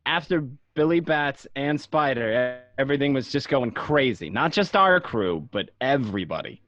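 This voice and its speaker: A man's voice: medium pitch, lively intonation, normal speed, a bit bright, dry recording, highs cut off, soft background music, lightly acted. A man playing an agitated gangster in a radio drama, with a pleading, exasperated manner. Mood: weary narrating. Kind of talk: amateur radio drama acting.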